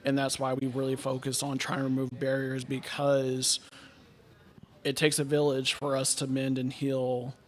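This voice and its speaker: A young man talks as a guest about mental health work. His voice stays very flat, with almost no rise and fall, and his delivery is earnest and measured. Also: mid-range voice. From Texas.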